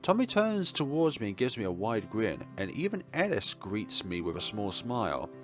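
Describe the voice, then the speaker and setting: Male let's player, lowish voice, very lively delivery, narrates and comments casually over game music. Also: moderate pace. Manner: expressive, acts out dialogue, chuckles and stumbles over words. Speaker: let's play host. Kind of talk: reading a visual novel aloud with casual commentary.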